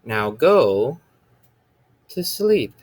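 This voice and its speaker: A man voicing an exasperated monster mother who scolds her little one at bedtime, high and sing-song. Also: very animated, extremely slow, darkish tone, exaggerated voice.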